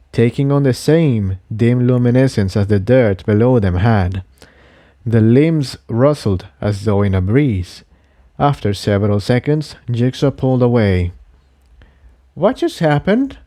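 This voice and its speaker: A man's voice: medium pitch, a little slow, dark tone, dry recording, clean studio sound. A man reading an adventure story aloud in scripted audiobook narration. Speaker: narrator and reader of a fan fiction.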